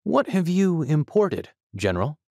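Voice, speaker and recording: An actor in an audio drama playing an earnest, curious big-game hunter, speaking politely and directly as a guest. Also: male voice, a little high, big pitch swings, unhurried, vocal fry, darkish tone, dry recording, clean studio sound, exaggerated voice. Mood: curious, surprised.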